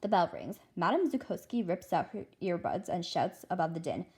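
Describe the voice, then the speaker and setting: A woman narrating a children's novel aloud in an expressive, dramatic style, now and then stumbling over words. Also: fairly low pitch, normal speed. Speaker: read-aloud host.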